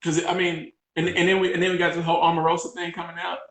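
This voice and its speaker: A man with a loud voice, co-hosting a casual live-stream chat. He is energetic, repeats himself and restarts his sentences often. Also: high voice, fast talker. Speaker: podcast co-host. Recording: roomy echo.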